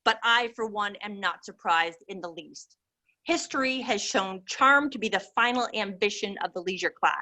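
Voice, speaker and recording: A woman acts out a severe committee chairman in a dramatic reading. The voice is bright and forceful, delivering measured, formal sentences with cutting sarcasm. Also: mid-range voice, lightly acted. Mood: coolly severe.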